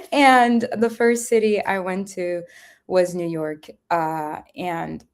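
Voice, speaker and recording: A woman's voice: on the low side, lively intonation, slow pace. A woman guest telling her personal story in an earnest, spiritual, meandering way with many fillers. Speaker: guest, event company founder. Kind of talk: reflective personal storytelling in conversation.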